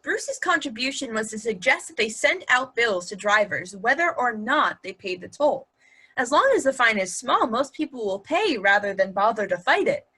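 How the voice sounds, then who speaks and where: A woman's voice: fairly high pitch, very animated, normal speed, bright, forceful tone, breathy edge, exaggerated voice. A woman acting a sardonic, needling relative with dry, pointed sarcasm in a stage-play table read.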